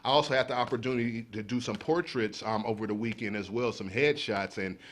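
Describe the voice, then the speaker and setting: Man, mid-pitched voice, upbeat, grateful, casual talk show guest. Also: brisk. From San Bernardino, California. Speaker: photographer and studio guest. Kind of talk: casual chat.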